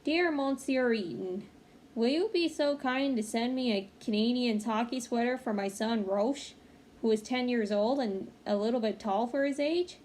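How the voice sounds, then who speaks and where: Woman reading as a firm, higher-voiced storybook mother. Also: moderate pace, darkish tone, natural voice. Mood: proud, particular. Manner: firm, reasoning, matter-of-fact. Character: proud, practical, firm mother of a young boy.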